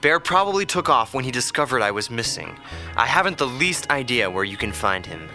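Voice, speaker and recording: A man's voice: medium pitch, very animated, fairly quick. An actor playing a defiant young male captive in an audio drama, desperate and protective, urgent and strained. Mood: defiant, weary. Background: dramatic background music.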